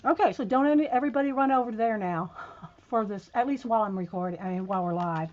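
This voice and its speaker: A woman hosting a casual livestream, chatting straight to her viewers while she is losing her voice and sounds hoarse. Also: medium pitch, fast talker, darkish tone, muffled recording. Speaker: livestream host.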